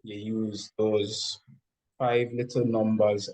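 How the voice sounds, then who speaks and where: A man speaking as a music producer guest, explaining things deliberately, step by step, in an interview. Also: lowish voice, little pitch movement, darkish tone.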